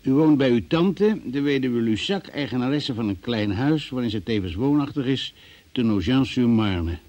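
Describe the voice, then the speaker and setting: An actor playing a formal, businesslike examining magistrate in a Dutch-language radio play. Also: male voice, medium pitch, animated, normal speed, warm tone, lightly acted. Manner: formal, official, procedural phrasing. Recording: dull, muffled old radio recording.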